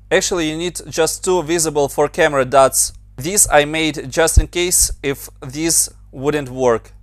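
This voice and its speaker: Ukrainian-accented male tutor, a bit higher voice, very dry close mic, patient step-by-step walkthrough. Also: dry recording. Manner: plain, step-by-step instructions with slightly non-native grammar. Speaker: motion designer and tutorial maker. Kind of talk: screen-recorded software tutorial.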